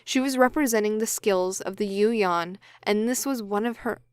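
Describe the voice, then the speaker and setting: A woman's voice: mid-range voice, moderate pace, very noisy. A woman reading scripted story narration for an audio drama. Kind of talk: scripted audiobook-style narration, blooper takes.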